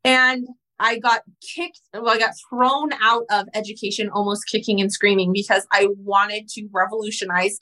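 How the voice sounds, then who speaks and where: A woman in her thirties whose first language is Spanish, telling her story in an interview in a frank, animated way and swearing casually. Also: medium pitch, normal speed, hardly any pauses, rough recording. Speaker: guest entrepreneur.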